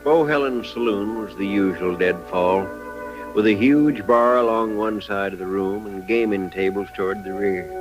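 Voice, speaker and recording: Male storyteller for old radio western; lowish voice, measured literary delivery over prominent music. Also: low-quality recording. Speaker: narrator. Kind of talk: scripted old-time radio western narration.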